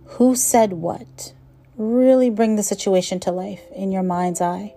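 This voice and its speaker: A woman guiding a meditation with slow, gentle instruction in a soothing, measured manner, letting phrase ends trail softly. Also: mid-range voice. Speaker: meditation guide.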